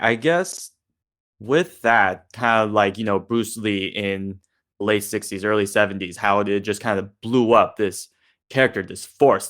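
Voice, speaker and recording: A man with a somewhat low voice joins an informal discussion. He talks conversationally, linking one thought loosely to the next. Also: fairly bright tone. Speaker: panelist. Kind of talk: casual discussion.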